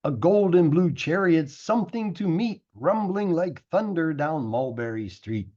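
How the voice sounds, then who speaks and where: A man reading a rhyming picture book aloud as a teacher would, with animated, theatrical delivery and drawn-out words. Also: fairly high pitch, lo-fi sound. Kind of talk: expressive rhyming storybook narration.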